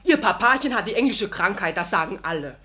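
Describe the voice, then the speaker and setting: A woman's voice: mid-range voice, expressive, brisk, bright, forceful tone. An actress playing a chatty, saucy young serf maid in a German radio drama, gushing and rambling as she talks. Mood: cheeky, eager. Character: cheeky, chatty young serf maid, loyal and fun-loving.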